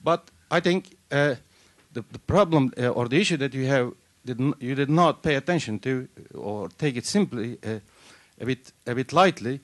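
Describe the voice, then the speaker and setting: A man's voice: mid-range voice, normal speed, hardly any pauses. Man arguing a point from the floor. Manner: starts hesitant, then argues firmly. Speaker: audience questioner. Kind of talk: question from the floor.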